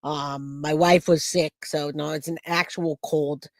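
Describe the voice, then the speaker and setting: A man hosting a true crime livestream, hoarse and raspy from a cold, chatting casually and greeting viewers by name. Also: on the high side, little pitch movement, a little slow, no room sound, clean studio sound.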